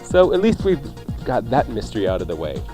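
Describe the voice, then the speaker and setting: A man's voice: medium pitch, huge pitch swings, fairly quick. A man hosting a radio cooking show, talking through recipes in a casual, conversational way with jokey asides. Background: faint music.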